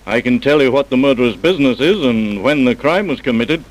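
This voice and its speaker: Man playing a wry, lively police scientist. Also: mid-range voice, lightly acted, rough recording. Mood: reassuring. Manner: measured, wry, self-assured explaining. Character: clever, unflappable male police scientist, drily teasing. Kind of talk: old-time radio crime drama acting. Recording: vintage radio broadcast recording.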